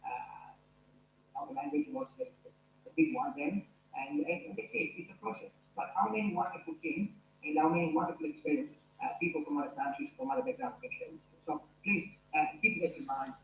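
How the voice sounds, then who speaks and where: A man's voice: high voice, sing-song, a little fast, reverberant, a little hiss or hum, rough recording. A man with an Italian accent, a university lecturer speaking to his online class in an earnest way, with many fillers and restarts. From Italy.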